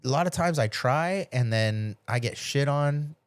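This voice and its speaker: A man's voice: mid-range voice, expressive, unhurried, clean tone, no room sound. Middle-aged man telling stories casually. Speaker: podcast host. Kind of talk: casual chat, storytelling.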